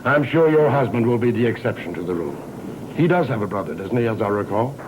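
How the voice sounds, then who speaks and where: A man playing a grave, measured older father, long thought dead, in a 1960s gothic soap opera. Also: on the low side, sing-song, background noise. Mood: courteous. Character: solemn long-lost father back from presumed death. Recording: old TV soundtrack with music.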